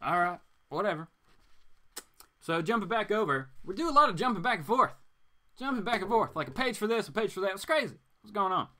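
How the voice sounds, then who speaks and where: A man's voice: high voice, very animated, fairly quick, bright, forceful tone. A man hosting a live show, casually reading comics aloud and commenting with mock-incredulous asides.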